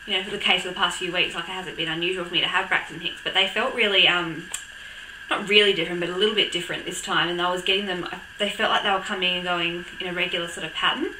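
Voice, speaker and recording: A young woman with a croaky voice from a cold, chatting casually to camera with lots of ums and little laughs. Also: lowish voice, steady tone, fast talker, echoey room, background noise. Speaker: vlogger. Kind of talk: casual chat.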